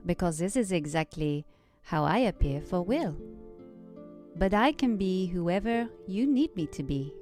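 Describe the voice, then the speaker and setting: A woman's voice: lowish voice, animated, a little slow, darkish tone, faint music behind. A woman playing a sly, lascivious, self-amused devil narrating an audio drama in a theatrical, wordy, playful way. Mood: teasing.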